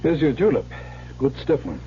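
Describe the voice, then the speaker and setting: A fatherly, plain-spoken lawyer in middle age with a very mellow voice. It is old radio drama, muffled and faintly humming. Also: a little high, sing-song, normal speed, a little hiss or hum. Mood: genial. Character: blunt, fatherly family lawyer, genial host.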